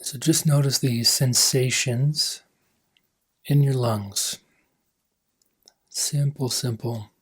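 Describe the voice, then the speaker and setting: Male meditation coach, husky mid-pitched voice, gentle phrasing with pauses, lines tapering off softly. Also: fairly even intonation. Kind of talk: guided meditation.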